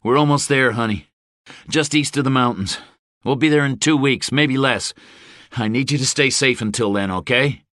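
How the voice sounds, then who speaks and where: A man's voice: medium pitch, moderate pace, dry recording, studio quality, pitched above the performer's own voice, natural voice. A male narrator playing a determined middle-aged father who speaks firmly and steadily to his daughter. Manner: firm, steady.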